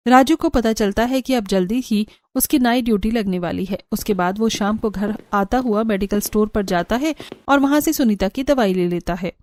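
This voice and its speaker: A woman narrating an animated story from a script, with music underneath. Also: mid-range voice, moderate pace.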